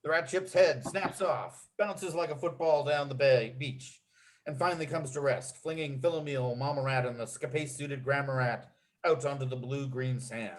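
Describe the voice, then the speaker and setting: A man's voice: medium pitch, normal speed, poor audio. A man narrating a comedy screenplay at a table read, reading out scene headings and action with punchy delivery.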